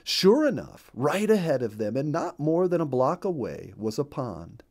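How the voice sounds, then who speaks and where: A man narrating a children's story as a storyteller, with emphatic, deliberate phrasing. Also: fairly high pitch, sing-song, darkish tone.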